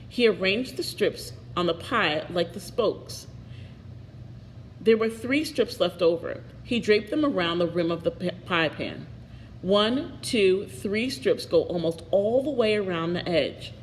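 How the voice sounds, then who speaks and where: A woman's voice: mid-range voice, animated, unhurried. A woman reading a picture book aloud expressively, with little asides to her listeners. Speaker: storybook reader. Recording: echoey reverberant room, faint noise.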